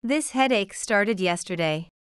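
A woman reading an English lesson, saying example phrases slowly and clearly. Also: medium pitch, lively intonation, dry recording, studio quality. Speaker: English teacher. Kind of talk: scripted lesson narration.

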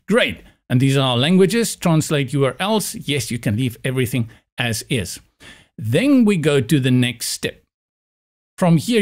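An upbeat man in his forties whose mother tongue is Afrikaans walks viewers through a software setup. He speaks close to a large studio microphone in a small room with blinds, at a plain, mid pitch. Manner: upbeat, enthusiastic explainer. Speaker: web tutorial host. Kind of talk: screen-recorded software tutorial. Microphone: large studio mic in front of face.

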